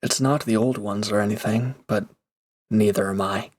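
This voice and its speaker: A man's voice: lowish voice, fairly even intonation, normal speed, a little mellow, close to an everyday voice. A man playing a reformed villain turned mercenary in an intimate roleplay audio drama, speaking casually and hesitantly with awkward, earnest gratitude. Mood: earnest, defensive.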